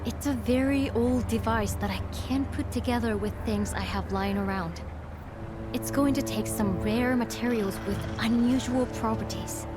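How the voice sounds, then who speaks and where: A woman playing an earnest, caring blacksmith and inventor in a video game cutscene, speaking gently and sincerely as she explains. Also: a little high, normal speed, close to an everyday voice. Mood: earnest, concerned. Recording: loud music behind.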